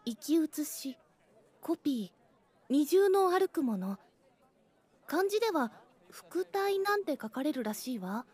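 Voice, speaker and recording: A woman's voice: high voice, sing-song, slow, long pauses, darkish tone, full character voice. A woman playing a mysterious, frail schoolgirl with a delicate voice in an audio drama. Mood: calm, enigmatic. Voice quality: delicate, fragile voice. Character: mysterious frail pale schoolgirl, calmly reassuring.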